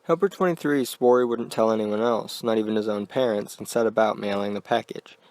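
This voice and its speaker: A man narrating a scripted horror story in a quiet, deliberate manner. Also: fairly low pitch, no echo.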